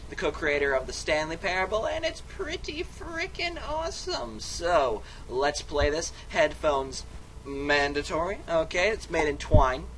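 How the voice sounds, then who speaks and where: A man's voice: on the high side, big pitch swings, a little slow, fairly bright tone. Male amateur narrator, mock-dramatic storyteller who keeps cutting in on himself, noisy home recording. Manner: theatrical, comically self-interrupting. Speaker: reader and player of a text game. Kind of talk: mock-dramatic storybook reading with casual asides.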